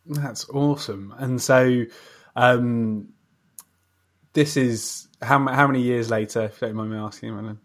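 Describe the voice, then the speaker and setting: A man with a medium-pitched voice interviews a guest in a casual, conversational style. His phrasing is halting, often repeating a word as he shapes a question. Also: steady tone, a bit bright. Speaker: podcast host, interviewer.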